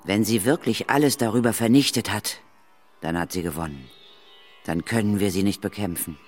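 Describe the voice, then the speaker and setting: An actress playing a resolute, wary older woman ghost hunter in a children's radio play, brisk and commanding. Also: extremely low pitch, faint music behind. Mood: grim.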